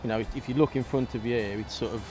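A man, giving a relaxed, detailed walk-through of his fishing rig outdoors by a river. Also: medium pitch, racing, music in the background. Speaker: match angler guest. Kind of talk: casual explanation of fishing tackle. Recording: outdoor recording, faint noise.

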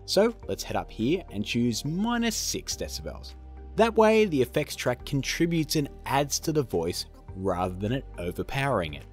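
A man in his thirties hosts a software tutorial. He is chatty and self-deprecating, with hugely varied intonation over background music. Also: mid-range voice, very animated, normal speed. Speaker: host of tutorial videos.

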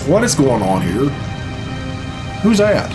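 A man's voice: mid-range voice, huge pitch swings, takes it slowly. A man in his thirties giving casual, profane and sardonic reaction commentary in a small room. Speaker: reaction video host. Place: small bedroom, indoors. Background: video game cutscene music.

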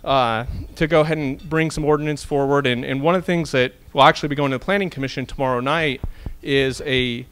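A man's voice: mid-range voice, a bit bright, clear, resonant tone. A man in his thirties, a city planning official, speaking on a handheld microphone at a panel in a large banquet room, earnest and explanatory with many fillers. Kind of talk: panel presentation.

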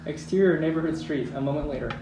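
A man's voice: fairly high pitch, fast, mellow. A man reading stage directions as the narrator at a script table read. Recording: echoey room, distant mic, faint noise.

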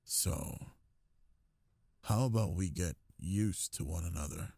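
A man acts out a brooding young goth bully for an intimate audio roleplay. He is possessive and teasing, speaking in a slow, deep, husky drawl, very close and dry. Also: mellow, no room sound, heavily acted. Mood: exasperated, insistent. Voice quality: low, gravelly, husky. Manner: slow, domineering, murmuring drawl.

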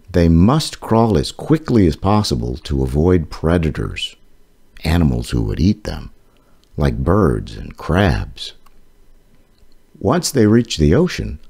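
Slow, very deep-voiced man reading aloud. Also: a little mellow. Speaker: author reading aloud his children's book. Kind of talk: scripted read-aloud of an educational children's book.

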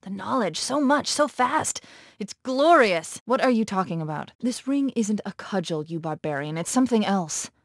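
A female military artificial intelligence in a sci-fi game. She is sharp-witted and analytical, briefing with crisp authority and urgency. Her medium-pitched voice is recorded close and dry. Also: animated, moderate pace, no echo. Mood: awed, then alarmed. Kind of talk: video game voice acting.

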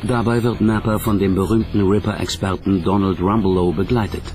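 A man narrates a documentary from a script. His voice sits on the low side, and music plays clearly behind him. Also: fairly even intonation, brisk.

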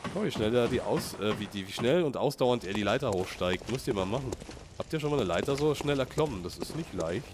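A man's voice: medium pitch, expressive, fairly quick, background noise. A man with a slight cold and a sniffle, chatting casually to viewers while he plays and reacts aloud to the game. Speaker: let's play gamer. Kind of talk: casual gameplay commentary.

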